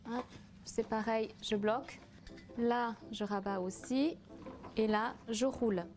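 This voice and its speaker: A woman of Vietnamese origin giving a casual step-by-step cooking demonstration, pausing often with fillers as she explains. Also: a little high, fairly even intonation, darkish tone. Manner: hesitant, frequent "euh" fillers, step-by-step explaining. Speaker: cooking association cofounder demonstrating a recipe.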